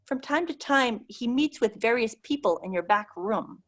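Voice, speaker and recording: A woman's voice: on the high side, animated, a bit bright. Woman reading as a discreet young priest. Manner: measured, discreet.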